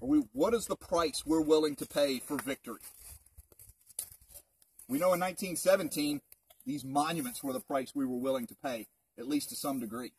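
A man's voice: fairly high pitch, moderate pace, slight background noise. A man in his thirties, a park ranger, gives an informal history talk to a group outdoors, conversational and full of rhetorical questions, picked up by a camera a few metres away. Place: outdoors in open woods. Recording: distant outdoor mic.

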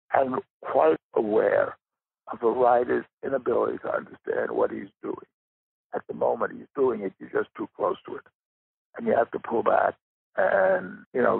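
A man with a raspy, gravelly voice giving reflective interview answers as a guest writer, pausing for emphasis. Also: mid-range voice, dry recording. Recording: muffled narrow phone-like line.

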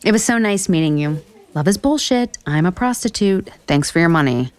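A woman's voice: on the low side, lively intonation, moderate pace, clear, resonant tone, lightly acted. A woman playing a sarcastic, exasperated author in a romantic comedy audio drama. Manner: incredulous, sardonic.

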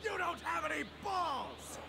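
A man voicing a pompous, hot-tempered alien warrior prince, sarcastic and gravelly, in an anime parody dub played as a clip. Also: very high-pitched, lively intonation, measured pace, raised voice, a crowd in the background, full character voice. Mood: mocking.

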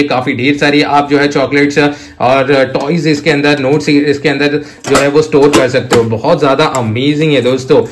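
A man chatting casually as a toy review host, effusive, piling up superlatives, mixing in English words and addressing viewers as friends. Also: medium pitch.

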